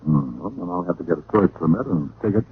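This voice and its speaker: Wry old-radio private eye, lively pitch. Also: male voice, quick pace. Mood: cool, probing. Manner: wisecracking, nonchalant. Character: wry, unhurried male private detective. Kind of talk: 1940s-50s radio detective acting. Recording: noisy, phone-like old radio recording.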